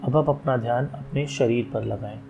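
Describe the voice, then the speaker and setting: A man with a medium-pitched voice guides a meditation in calm, measured instructions. He leaves long, unhurried pauses between phrases. Meditation music plays clearly behind his voice. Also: muffled recording. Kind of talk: guided yoga nidra meditation, slow soothing instructions.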